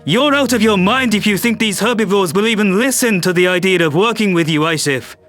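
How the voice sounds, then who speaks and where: A man's voice: high voice, a little fast, a bit bright, soft background music. A man playing a harried human world leader, tense and exasperated.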